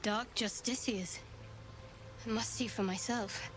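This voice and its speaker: A woman's voice: on the high side, fairly even intonation, slow, a little hiss or hum. An actress playing an intent, devout woman adventurer in a fantasy video game. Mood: intrigued.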